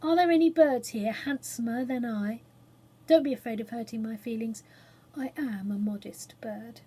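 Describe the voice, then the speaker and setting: Storybook phoenix played by a woman: vain, pompous, soft voice with a slightly husky edge. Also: on the high side, normal speed. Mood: vain, pleased. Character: vain, self-satisfied talking phoenix bird.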